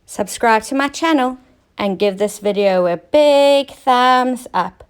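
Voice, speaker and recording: A woman teacher narrating a scripted children's story, expressive and clearly enunciated for young listeners. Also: fairly high pitch, takes it slowly. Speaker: teacher reading stories to children.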